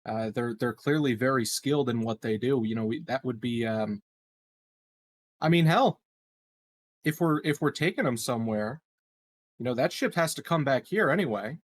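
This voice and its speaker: A man's voice: medium pitch, expressive, normal speed, pauses often. A man speculating casually as a player in a tabletop roleplaying game.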